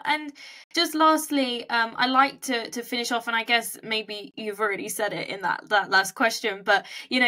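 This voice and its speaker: Bright-voiced woman hosting an interview. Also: mid-range voice. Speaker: podcast host. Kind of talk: conversational interviewing.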